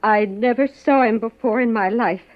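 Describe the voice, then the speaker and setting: A woman's voice: on the high side, moderate pace, close to an everyday voice. An actress playing an anxious, guarded married woman in a 1950s radio detective drama, speaking formally and dramatically. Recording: muffled old radio recording.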